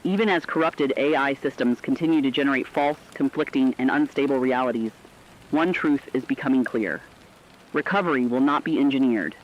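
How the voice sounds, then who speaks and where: A dull, band-limited recording carries an earnest radio correspondent acted by a man at a middling pitch. The delivery is formal and deliberate, a scripted emergency broadcast read with care. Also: slight background noise, close to an everyday voice. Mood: solemn, hopeful. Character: earnest radio correspondent in a world of failing technology. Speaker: broadcast co-anchor.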